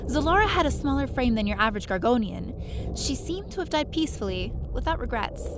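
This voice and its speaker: Audio drama lead: quick-witted young-sounding heroine played by a woman, high and animated, narrating and acting dramatically. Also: noisy background. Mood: tense narration. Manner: expressive, dramatic. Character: plucky, quick-thinking woman space adventurer telling her story.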